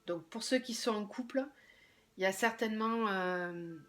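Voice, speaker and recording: A woman with a slightly hoarse voice does a tarot reading at a mid pitch, neither high nor low. She thinks aloud as she goes, hesitant and unhurried, with her sentences often sinking to a softer, quieter voice. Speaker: tarot card reader.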